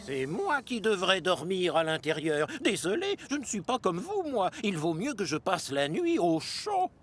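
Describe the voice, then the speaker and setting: A pompous cartoon locomotive, pitched very high and swinging wildly up and down. He is fussy and self-important, forever grumbling, with faint music behind him. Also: huge pitch swings, measured pace, exaggerated voice. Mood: haughty, complaining. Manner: haughty, complaining, self-important. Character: proud, fussy, grumbling male steam locomotive. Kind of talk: French-dubbed children's cartoon acting.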